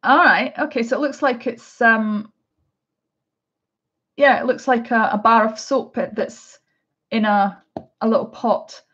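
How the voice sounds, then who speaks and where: Slow-talking Scottish woman, hesitant chat. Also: fairly high pitch, fairly bright tone, dull audio. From Scotland. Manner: hesitant, many ums and restarts, chatty. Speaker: art vlogger. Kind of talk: casual live unboxing chat.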